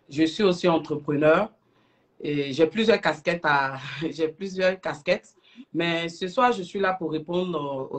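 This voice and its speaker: Earnest woman with an Ivorian accent. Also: low voice. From Ivory Coast. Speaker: interview guest. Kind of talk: explanatory interview talk on a livestream.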